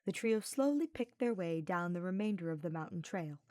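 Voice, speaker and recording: Lowish-voiced woman narrating fiction. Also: animated, normal speed, a little mellow, no room sound, clean studio sound.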